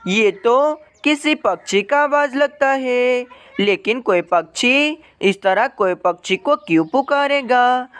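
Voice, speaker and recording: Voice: medium pitch, lively intonation, slow pace, a bit bright, clean tone. A narrator voicing a caring, hardworking mother bird in a cartoon story, talking earnestly to herself. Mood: concerned. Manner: earnest self-talk. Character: worried, hardworking mother sparrow, caring and determined.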